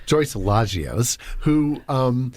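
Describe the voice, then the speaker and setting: A man's voice: medium pitch, very animated, extremely slow, a little mellow. A man co-hosting a local podcast, asking a guest questions in a loose, rambling way full of fillers. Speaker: podcast co-host. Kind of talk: casual interview chat. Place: podcast studio.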